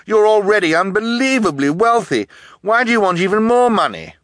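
A man's voice: fairly high pitch, lively intonation, a little fast, fairly bright tone, dry recording, studio quality, voice pushed up. A man voicing an earnest, bookish young orphan boy in a storybook, speaking emphatically. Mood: indignant.

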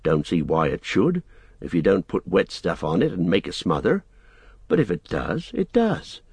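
A man's voice: sits low, big pitch swings, moderate pace, vocal fry, darkish tone, slightly rough, no echo, full character voice. A man voicing a plain-spoken, bossy but loyal hobbit in an audiobook, with blunt, homely, rustic speech. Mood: stubborn.

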